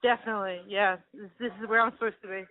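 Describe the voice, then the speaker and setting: A woman's voice: mid-range voice, steady tone, fast talker, dry recording. Easygoing woman boxer on the phone. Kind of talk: phone interview. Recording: tinny phone line.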